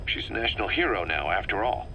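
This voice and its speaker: A man's voice: on the low side, expressive, fast talker, sharp tone. A man playing a bitter, driven middle-aged military officer in a video game, briefing in a clipped, emphatic way. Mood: puzzled, briefing. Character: bitter, driven military second-in-command, vengeful and intense. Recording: faintly noisy cassette tape recording.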